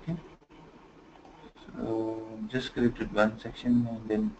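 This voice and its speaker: Man giving a casual software tutorial. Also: medium pitch, animated, takes it slowly. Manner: conversational, many "you know" fillers. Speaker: software trainer. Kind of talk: screen-recorded tutorial. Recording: slightly dull lo-fi screen recording with faint noise.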